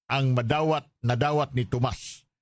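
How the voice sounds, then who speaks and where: A man's voice: mid-range voice, fairly even intonation, moderate pace, no echo. A man with a Filipino accent hosting a radio show, chatting casually and reading out listener messages. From Philippines. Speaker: radio drama show host and commentator. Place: radio studio.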